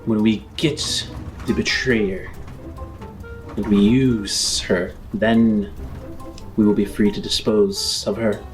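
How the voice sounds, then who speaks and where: A man voicing a grave, cautious starship pilot in a tabletop game, speaking in formal, deliberate phrases. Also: lowish voice, fairly even intonation, soft background music, low-quality recording. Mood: grave, scheming.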